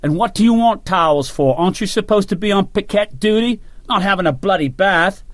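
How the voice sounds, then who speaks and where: A man voicing a brash, hot-tempered male British army officer who speaks gruffly and swears. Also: high-pitched, brisk, no room sound, voice pushed up, natural voice. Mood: furious.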